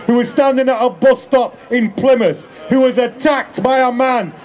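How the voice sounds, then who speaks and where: A man's voice: extremely high pitch, normal speed, darkish tone, soft background music. A man delivering a rousing protest speech at an outdoor rally, leading call-and-response chants. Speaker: rally speaker. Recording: crowd noise, open air.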